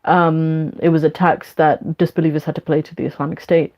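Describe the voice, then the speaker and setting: A woman with a London accent hosting a casual question-and-answer session, speaking in a frank, measured way. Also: low voice, level tone, darkish tone. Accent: London English. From London, UK; born in Bangladesh. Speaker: online Q&A host. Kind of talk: casual spoken Q&A, reading questions and giving opinions. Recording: dull, lo-fi sound.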